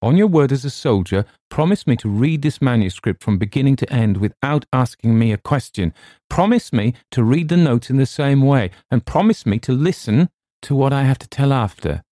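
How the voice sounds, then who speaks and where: A man's voice: mid-range voice, lively intonation, moderate pace, hardly any pauses, no echo, studio quality, voice pushed up, lightly acted. A man voicing a proud, deluded madman in an audiobook, imperious, insistent and emphatic. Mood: solemn, insistent. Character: deluded, proud madman, coldly intense, claiming a crown. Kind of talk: dramatic audiobook character reading.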